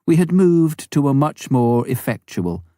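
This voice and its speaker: A man reading a memoir aloud as an audiobook narrator, in a measured, clearly phrased scripted delivery. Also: mid-range voice, big pitch swings, warm tone, dry recording, clean studio sound.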